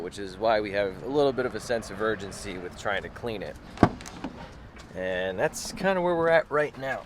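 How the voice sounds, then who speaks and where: A man vlogging casually from inside a moving vehicle, rambling loosely and swearing offhandedly. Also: fairly low pitch. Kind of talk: casual on-the-road chat to camera. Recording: loud road and engine noise. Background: car noise.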